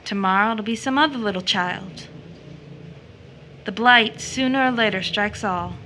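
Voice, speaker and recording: A woman's voice: medium pitch, a little slow, pushed and bright, slight background noise, poor audio. A woman playing a tender but troubled child-loving young woman in an audio drama, earnest and theatrical. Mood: anguished, brooding. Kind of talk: audio drama stage-style acting.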